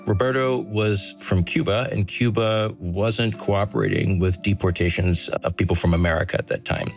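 A man working as a reporter, explaining a story in a measured, conversational news podcast style. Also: fairly low pitch, fairly even intonation, soft background music. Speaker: reporter telling an investigated story to a host.

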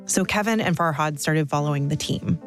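A woman's voice: deep voice, fairly quick, soft background music. A woman, narrating a scripted podcast story as host and reporter.

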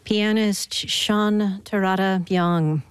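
A woman's voice: mid-range voice, steady tone, slow, smooth, no room sound. A woman radio host presenting and interviewing a musician in a scripted, on-air style.

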